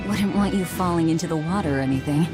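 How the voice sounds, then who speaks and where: A woman's voice: lowish voice, quick pace, close to an everyday voice. A woman voicing a cool, guarded, brooding young woman with a reserved, terse manner in a dubbed video game cutscene. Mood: awkwardly tender. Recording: music behind the voice.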